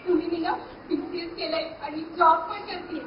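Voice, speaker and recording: A woman playing an excitable, choosy young woman looking for a husband in a comic stage play. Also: extremely high pitch, normal speed. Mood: eager, coaxing. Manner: excitable, coaxing, demanding. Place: theatre stage. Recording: noisy muffled echoey stage, distant mic, audience.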